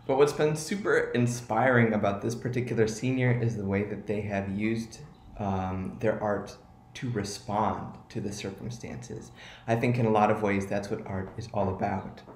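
A young man with a fairly deep voice gives a prepared award tribute. The sound is roomy and echoey, picked up a few feet away in a living room. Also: unhurried, slight background noise. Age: 20s. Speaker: award presenter. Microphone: camera a few feet away.

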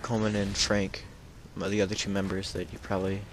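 A hoarse, sick teenage boy giving rambling, casual commentary over his own gameplay. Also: low-pitched, little pitch movement, a little fast, noisy background. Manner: rambling, self-correcting, with frequent restarts. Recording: loud gunfire from the game behind.

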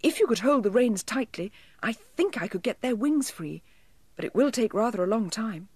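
Tiny British storybook elf played by a woman, high-pitched, pleading, polite, tearful. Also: animated, moderate pace, darkish tone, breathy edge, dry recording. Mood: anxious pleading.

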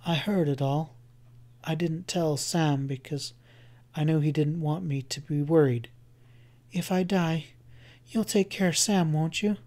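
A man's voice: fairly high pitch, unhurried, long pauses, close to an everyday voice. A man reading the part of a feverish young woman in labor, talking faintly and pleadingly. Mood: weak, pleading. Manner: soft, pleading, breathy and faint.

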